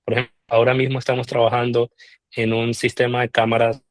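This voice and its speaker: A man answers interview questions, taking his time and filling pauses with soft hesitations. His voice is mid-pitched, and the recording is close and dry, with no room sound. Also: monotone, no room sound. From Colombia. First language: Spanish. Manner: measured, with frequent "eh" pauses. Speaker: interviewed scholarship alumnus.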